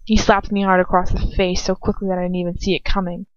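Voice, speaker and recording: A woman reading a story aloud in an expressive amateur narration, with dramatic emotion and casual asides. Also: fairly low pitch, fast talker, slight background noise. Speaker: fan fiction reader. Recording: dull, lo-fi, dry home recording.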